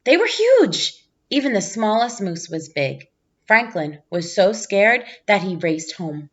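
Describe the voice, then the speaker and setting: A woman reading a children's storybook aloud in an expressive narrating style. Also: medium pitch, unhurried, dull audio. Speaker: storybook reader, teacher.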